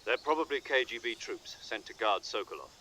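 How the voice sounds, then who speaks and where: An actor playing a composed, authoritative major giving precise mission briefings in a video game. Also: male voice, mid-range voice, expressive, a little fast, sharp tone, slight background noise. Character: composed male major commanding a covert mission. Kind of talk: dramatic video game acting.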